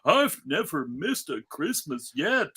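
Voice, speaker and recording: Stranded old Santa for a cartoon table read, played by an amateur, melodramatic, husky, widely swooping delivery. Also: medium pitch, big pitch swings, slow, bright, forceful tone, full character voice. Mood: worried. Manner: melodramatic, fretting. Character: stranded old Santa, fretful and jolly-natured. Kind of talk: live comedic table read.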